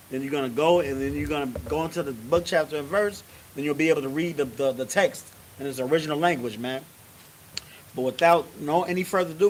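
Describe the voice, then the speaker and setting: Casual preacher, lots of filler. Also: male voice, a little high, rough recording. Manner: repeats "you know what I'm saying" constantly. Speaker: religious teacher leading a service. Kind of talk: casual sermon. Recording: faint hiss.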